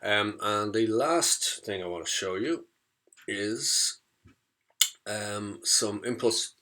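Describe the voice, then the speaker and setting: A man with a deep voice and a bright, forward edge gives an informal demonstration. His delivery is halting and hesitant, as if feeling his way through the explanation. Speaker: music student demonstrating audio effects.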